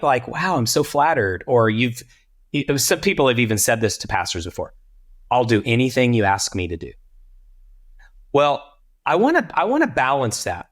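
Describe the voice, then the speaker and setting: A man from Texas giving conversational advice on a podcast, voicing imagined remarks as examples. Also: mid-range voice. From Texas, United States. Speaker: podcast panelist, church leadership coach.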